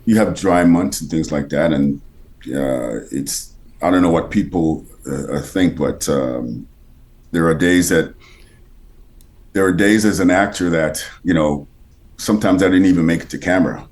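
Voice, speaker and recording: A man with an extremely low voice and a rich, bass-heavy texture talks casually as an interview guest. He stops and restarts often, trailing off quieter mid-thought. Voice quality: deep, textured timbre with underlying bass. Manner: halting, many restarts and fillers, drops quieter mid-thought. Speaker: actor and voice actor guest.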